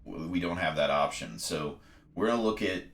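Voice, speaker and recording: A man with a very low voice talks through a video game step by step, pausing on ums as he weighs each choice. He sounds congested. His voice rings in a noticeably echoey room. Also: vocal fry, a bit bright. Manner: methodical, explaining step by step with frequent ums. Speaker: let's play host. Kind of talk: casual gameplay commentary and tutorial.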